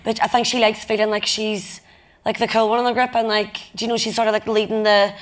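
A young woman talks bluntly on a panel stage, laughing and swearing as she goes. Her voice sits fairly high. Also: flat delivery, fast. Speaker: actress on a panel. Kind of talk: festival panel conversation. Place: stage in front of a live audience.

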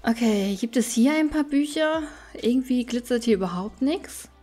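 Girl gamer, kinda high voice, chatty let's play commentary thinking out loud, some music behind. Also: animated, moderate pace. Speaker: let's player. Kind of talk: casual gameplay commentary.

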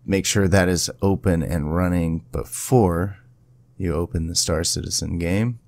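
A man presenting a casual step-by-step software tutorial in an informal, instructional way. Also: low-pitched, measured pace, no room sound.

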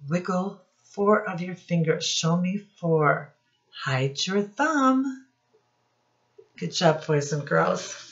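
A woman's voice: on the low side, animated, highs cut off. A middle-aged woman reading a picture book aloud to young children like a teacher, stopping to point things out and ask questions. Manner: instructive, addresses children directly, pauses to point things out. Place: small room at home, indoors.

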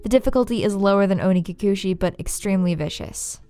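A woman's voice: medium pitch, fast talker, mellow, no echo. A woman hosting a scripted video essay, narrating in a wry, playfully sarcastic way. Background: faint background music.

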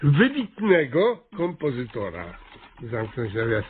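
An actor playing a pompous, officious small-town mayor who fumbles for words in a radio comedy. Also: male voice, medium pitch, big pitch swings, takes it slowly. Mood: dictating. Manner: officious, pompous, hesitant fillers. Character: pompous small-town mayor, self-appointed theatre director. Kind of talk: radio comedy drama acting. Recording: muffled, noisy, phone-like radio recording.

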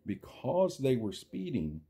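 A man reading a children's picture book aloud, speaking directly to the children like a schoolteacher, with theatrical drawn-out words and dramatic pauses. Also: mid-range voice, huge pitch swings, mellow, breathy edge. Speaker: storyteller, reader to young children.

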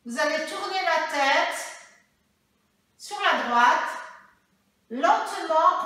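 A high, bright-voiced middle-aged woman guides a balance workout in a calm, instructive way, tagging "hein" onto her cues. Her voice rings around a bare, hard-floored room, picked up from a camera standing well back. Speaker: fitness instructor. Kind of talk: guided balance exercise class. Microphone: far camera. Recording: echoey room from a distance.

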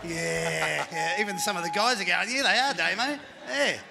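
A man's voice: high-pitched, normal speed, gravelly, loud music behind the voice. A middle-aged Australian man hosting a live panel show, chatty and jokey, speaking into a handheld mic in a function room. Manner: chatty, jokey, works the crowd.

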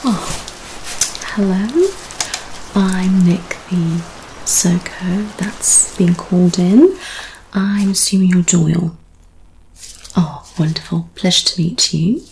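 A woman playing a composed British crime scene officer in an ASMR roleplay. Her voice is lowish and creaky, often dropping to a whisper, and she takes her time in a methodical way. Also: slight background noise, low-quality recording. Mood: soft, composed. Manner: soft, measured, partly whispered. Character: brisk, methodical female crime scene investigator.

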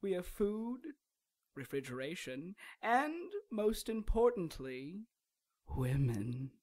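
An actor playing a sinister, gloating troll villain in a radio drama, mocking and courteous with drawn-out menace. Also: mid-range voice, sing-song, darkish tone. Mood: smug scheming.

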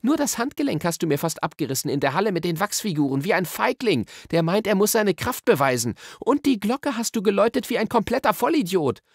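Male narrator as a young storybook girl, cool, mocking, indignant, high pitch, swooping melody. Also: big pitch swings, fast talker, hardly any pauses, husky, dry recording, studio quality, full character voice. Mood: scornful. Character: cool, scornful, sharp-tongued young girl.

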